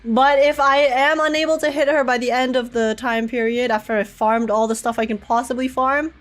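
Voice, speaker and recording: A woman's voice: high-pitched, fairly even intonation, fairly quick. A young woman livestreaming a game, chatting casually with viewers in a bubbly, giggly, chatty way. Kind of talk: casual livestream chat, reading and answering viewer comments. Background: game music.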